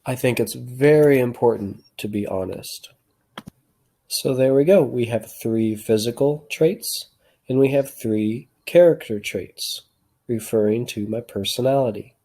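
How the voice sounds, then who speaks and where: A teacher calmly talking through a classroom example aloud. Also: medium pitch, unhurried. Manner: calm, reflective, talks through choices aloud.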